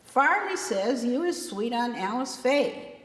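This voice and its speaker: A woman voicing a teasing, excitable teenage boy who speaks in folksy rural dialect, in a novel reading. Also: a little high, slow, voice pushed up. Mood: goading. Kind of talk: acted reading of a historical novel. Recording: echoey room with long reverb.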